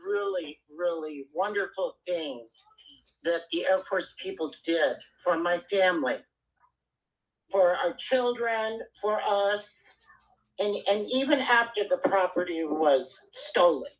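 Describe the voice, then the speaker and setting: A woman speaking as a guest in a casual chat, earnest and halting, often repeating her words. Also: lowish voice, lively intonation, poor audio. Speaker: guest speaker on a live audio room.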